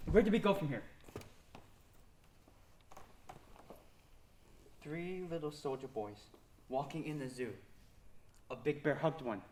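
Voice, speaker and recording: A man's voice: medium pitch, a little mellow, lightly acted. An actor playing a suspicious, stubborn ex-policeman in a stage play, speaking in a blunt, distrustful manner. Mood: sceptical. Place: stage performance. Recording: clearly noisy stage recording.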